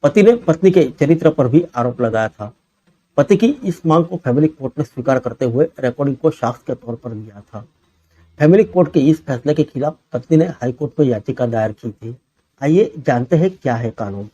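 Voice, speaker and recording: A man's voice: mid-range voice, animated, fairly quick, dark tone, no echo, lo-fi sound. A man reads a scripted news bulletin as the presenter.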